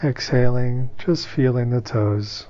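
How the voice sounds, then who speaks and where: A man leading a guided meditation as its teacher, speaking in a calm, unhurried, soothing way with long pauses between instructions. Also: mid-range voice, muffled recording.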